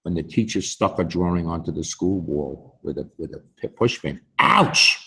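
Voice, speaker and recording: A man in his sixties with a husky voice, reading a picture book aloud to young children in an expressive, unhurried way. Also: low voice, low-quality recording. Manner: expressive, unhurried, with emphatic swings. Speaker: story time host reading aloud. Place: school library, indoors.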